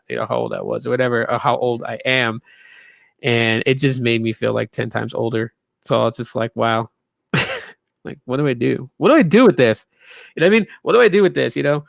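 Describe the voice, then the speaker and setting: Tired man rambling on a live stream, gravelly. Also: mid-range voice, no echo, clean studio sound. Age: 30s. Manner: rambling, loose, lots of fillers. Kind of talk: casual live stream chat. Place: small room in a new home.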